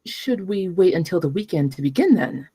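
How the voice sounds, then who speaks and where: A woman's voice: fairly low pitch, expressive, normal speed, warm tone, husky. A woman at a script table read playing a polished, businesslike company manager in her forties. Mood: polished, eager.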